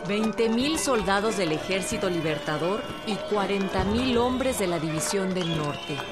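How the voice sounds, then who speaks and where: Mid-pitched woman narrating with music. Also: lively intonation, unhurried. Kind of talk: scripted historical documentary narration.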